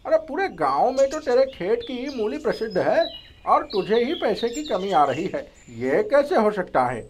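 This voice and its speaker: A meddling village friend in a storybook tale, voiced by an actor. He goads and chides with very animated, swooping pitch. Faint noise and birds are heard behind. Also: normal speed, lightly acted. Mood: nosy, goading. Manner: pushy, egging on, chiding. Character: meddling, goading village friend of a young farmer.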